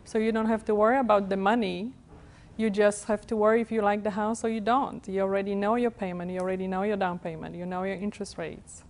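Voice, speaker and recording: A woman in her thirties who grew up in Poland and speaks with a Polish accent. She answers as a studio interview guest in the role of real estate broker, at a medium pitch. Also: steady tone, fast, a little mellow.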